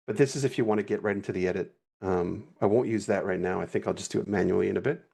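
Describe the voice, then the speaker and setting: Low gravelly guy, kinda husky, chill rambly livestream talk, keeps correcting himself. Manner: relaxed, rambling, self-correcting. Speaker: guest animator. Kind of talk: casual livestream walkthrough.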